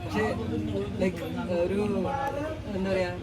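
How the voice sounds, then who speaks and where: A woman's voice: lowish voice, steady tone, slow. A young woman chatting casually in a group of friends. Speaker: friend of the host. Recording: loud outdoor crowd and street noise.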